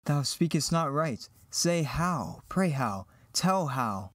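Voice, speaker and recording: A man's voice: fairly high pitch, animated, takes it slowly, fairly bright tone, no room sound, studio quality, exaggerated voice. An actor playing an earnest, eager young farm boy hero in a Shakespearean dramatic reading. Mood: anxious, questioning.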